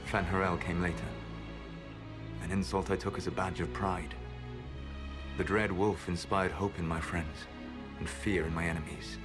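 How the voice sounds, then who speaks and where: An actor playing an ancient, secretive male elf mage who speaks in a measured, grave and regretful way. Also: low voice, steady tone, natural voice. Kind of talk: video game voice acting. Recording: game audio with music score.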